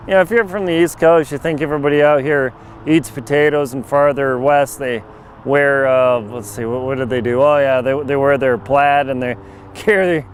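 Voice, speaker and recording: A middle-aged man, a bit hoarse, chatting wryly to a handheld camera outdoors at a farm, with jokey asides. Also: medium pitch, steady tone, normal speed, slight background noise. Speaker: travel vlogger. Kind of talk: casual handheld travel vlog. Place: outdoors on a rural farm lot. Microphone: handheld camera mic at arm's length.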